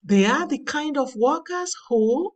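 A woman reading a daily devotional aloud in a measured, earnest way. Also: sits high, animated, far from the mic. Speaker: devotional reader. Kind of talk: slow scripted devotional reading. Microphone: voice-over over slides.

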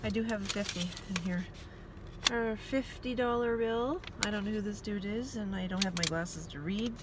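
A woman's voice: mid-range voice, normal speed. A woman, chatting casually and self-deprecatingly to a phone camera clipped inside her car, rambling and restarting her sentences. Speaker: vlogger. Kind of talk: casual car vlog monologue. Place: inside a parked and driving car at night. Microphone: phone clipped to the dashboard vent. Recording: loud car and road noise.

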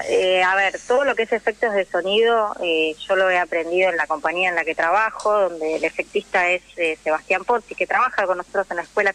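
Woman, Argentine Rioplatense accent, bright clear-cut tone, animated explanations, casual radio interview guest. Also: medium pitch, low-quality recording. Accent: Argentine Rioplatense Spanish. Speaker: interview guest, actress and theatre teacher.

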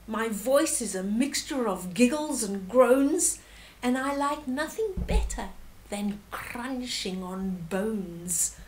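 A woman's voice: fairly high pitch, lively intonation, slow, a little hiss or hum, poor audio. A woman voicing a boastfully ugly spotted hyena in a storybook, proud and theatrical. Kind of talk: storybook character reading.

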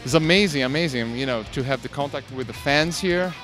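A man, a guest musician, giving short relaxed answers. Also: mid-range voice, a little fast, soft background music.

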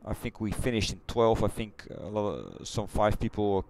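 A middle-aged man with a Dutch accent, chatting loosely through a replay of his racing game run, halting and correcting himself as he goes. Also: fairly low pitch, expressive, creaky, a little hiss or hum. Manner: halting, rambling, self-correcting. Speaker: sim racing streamer. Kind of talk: casual replay commentary. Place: home gaming room.

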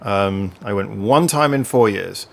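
A man's voice: lowish voice, measured pace, creaky, fairly bright tone. A man in his thirties from England talks loosely on a webcam call from a room at home. He rambles, restarts his sentences and laughs often, in an easygoing host's chat. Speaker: podcast host. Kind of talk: casual chat on a video call. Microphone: webcam on a video call.

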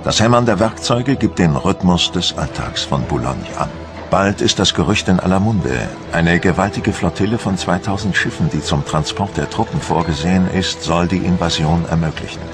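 A man's voice: deep voice, expressive, moderate pace. An actor playing a Frenchman of Napoleon's time, narrating a scripted historical documentary. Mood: measured, earnest. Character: Frenchman of Napoleon's time recounting invasion preparations. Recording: music behind the voice.

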